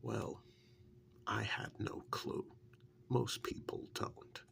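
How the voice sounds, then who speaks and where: Man playing a slow, gravelly, half-whispering witch's assistant. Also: on the low side, little pitch movement, a bit bright, a little hiss or hum, heavily acted. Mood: reflective, resigned. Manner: slow, halting, reflective, with murmured acknowledgements. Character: witch's male assistant, world-weary human forest dweller being interviewed. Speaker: ASMR role-play performer. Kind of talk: soft-spoken ASMR role-play, improvised monologue.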